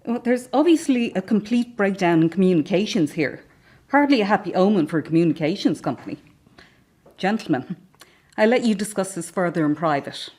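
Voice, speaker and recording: A woman playing a brisk, formal, coolly polite corporate executive in a naturalistic radio drama. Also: medium pitch, lively intonation, a little mellow, lightly acted. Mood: cool, irritated. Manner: formal, businesslike.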